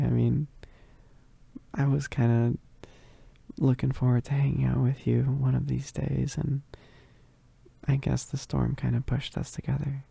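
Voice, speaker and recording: A performer voicing a shy, needy, affectionate young werewolf roommate in a roleplay ASMR, with soft, breathy, halting, giggly speech that often drops to whispers. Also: medium pitch, dry recording, exaggerated voice. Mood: shy, content. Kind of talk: roleplay ASMR, intimate one-sided dialogue.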